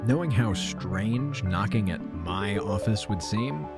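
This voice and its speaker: Low voiced audio drama narrator, measured n reflective, loud music behind him. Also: male voice, expressive. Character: weary male narrator recounting meeting his double. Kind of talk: scripted first-person audio drama narration.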